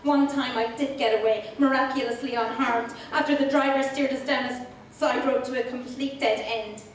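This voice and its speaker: A woman with an Irish accent performing spoken-word poetry in a deliberate, rhetorical storytelling delivery. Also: high voice, steady tone. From Ireland. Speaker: slam poet. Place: indoor poetry slam event. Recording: very echoey room, distant mic, faint noise.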